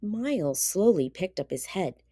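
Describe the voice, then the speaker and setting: A woman narrating a children's storybook read-aloud in an expressive, deliberate way. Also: fairly low pitch, no room sound.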